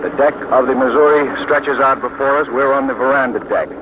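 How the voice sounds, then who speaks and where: A noisy, muffled 1940s radio broadcast carries an American man reporting live from outdoors. His voice is fairly high, and he announces in a formal, measured way, phrasing each detail deliberately as a correspondent on the scene. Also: steady tone. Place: outdoors on a warship deck. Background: loud noise, aircraft drone.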